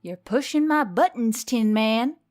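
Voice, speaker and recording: A woman voicing a feisty, irritable young woman biker with a loud, sarcastic, snappy delivery. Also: a little high, expressive, a little slow. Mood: irritated, defensive.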